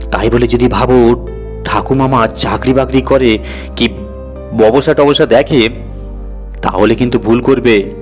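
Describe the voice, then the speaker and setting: A man narrating an audio story in a conversational, expressive, dramatised storytelling manner. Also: medium pitch.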